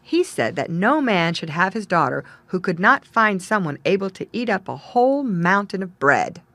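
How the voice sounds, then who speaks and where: A woman's voice: medium pitch, very animated, moderate pace, fairly bright tone, dry recording. A woman reading a fairy tale as a storyteller, in scripted narration.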